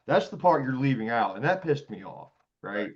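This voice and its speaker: A man's voice: medium pitch, sing-song, moderate pace, slightly rough. A man hosting a panel and giving blunt, indignant unscripted commentary. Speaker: panel host. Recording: dull, lo-fi audio.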